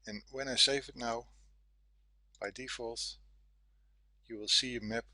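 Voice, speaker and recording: A man with a Dutch accent, a native Dutch speaker, giving a software tutorial in careful, simple phrases. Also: medium pitch, steady tone, measured pace, no room sound. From Netherlands. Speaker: software developer, guest presenter.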